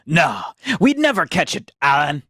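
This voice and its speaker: A woman voicing an earnest young male cadet with a husky, creaky voice in a hammy radio play. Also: sits low, sing-song, moderate pace, fairly bright tone, no room sound, studio quality, voice pushed down, heavily acted. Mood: calm, wry.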